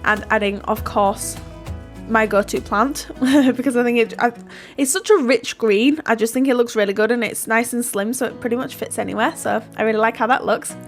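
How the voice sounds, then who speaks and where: A woman's voice: fairly high pitch, brisk, faint music behind. A woman, stuffy from hay fever, chatting casually through a voiceover of her building game, giggling and self-deprecating. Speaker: YouTuber narrating a Sims build.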